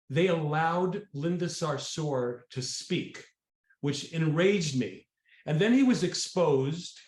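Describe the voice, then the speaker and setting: A man interviewing a guest, laying out a long, opinionated question in a roomy, echoing recording. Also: on the high side.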